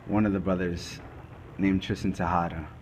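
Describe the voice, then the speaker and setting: Man playing a low-voiced, grave crime investigator. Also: steady tone, normal speed, darkish tone, slight background noise, lightly acted. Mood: grave, suspenseful. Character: male forensic investigator recounting a murder case, grave and methodical. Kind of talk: scripted dramatic monologue, amateur student production.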